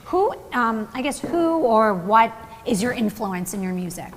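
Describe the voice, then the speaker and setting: A woman co-hosting a live morning talk show, asking brisk, polite questions. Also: a little high, animated, big-room sound, a little hiss or hum.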